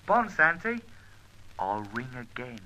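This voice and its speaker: A man's voice: medium pitch, very animated, slow pace. An actor playing a cheeky, girl-chasing sidekick in an old radio drama, rambling along in chirpy, slangy, colloquial speech. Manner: chuckling, rambling, hesitant, colloquial. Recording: noisy vintage radio recording.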